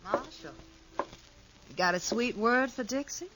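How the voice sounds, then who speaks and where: A sly saloon girl drawling her words slowly, her voice sliding up and down in mocking teases. The old radio recording carries a loud hiss under her lines. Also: fairly high pitch, animated, low-quality recording. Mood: teasing. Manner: slow, mocking, teasing drawl. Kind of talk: 1950s radio drama acting.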